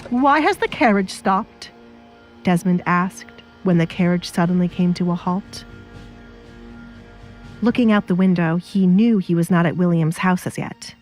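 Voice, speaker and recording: A woman's voice: on the low side, darkish tone. A woman narrating a scripted audio drama as its storyteller.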